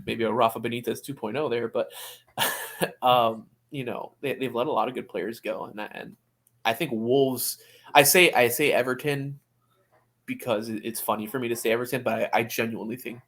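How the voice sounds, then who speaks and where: A man's voice: mid-range voice, fairly even intonation, moderate pace, gravelly, fairly bright tone. A man co-hosting a sports podcast in casual, opinionated conversation, often restarting his phrases. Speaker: soccer podcast co-host.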